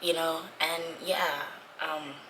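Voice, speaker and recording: Young female vlogger, lowish bright voice gone raspy with illness, informal chatty delivery, some background noise. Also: steady tone, takes it slowly, poor audio. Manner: informal, repetitive for emphasis. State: sick, voice going away. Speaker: vlogger, Navy corpsman trainee. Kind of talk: casual chatty vlog talk to viewers.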